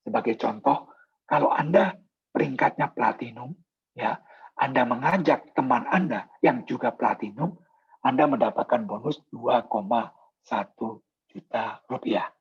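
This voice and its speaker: Hoarse man, gravelly husky voice. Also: medium pitch. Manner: polite, explanatory, many filler pauses. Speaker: product presenter. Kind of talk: sales presentation in a video meeting. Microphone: video call. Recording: dull lo-fi video call audio.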